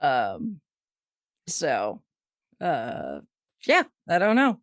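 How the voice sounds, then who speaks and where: A woman's voice: a bass voice, huge pitch swings, drawn-out words, no echo, clean studio sound. A woman hosting a podcast, reading out fandom news in a casual, wry and playful way with chuckles. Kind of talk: casual chat.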